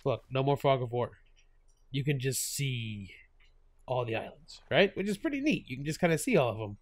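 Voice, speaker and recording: A man hosting a gaming channel while stuffed up from a cold, his voice sitting at an everyday middle pitch. He narrates gameplay in loose, chatty talk, laughing a lot and stumbling over fillers and restarts. The sound is close and dry, with no room around it. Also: animated, normal speed, dry recording. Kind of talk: casual gameplay commentary.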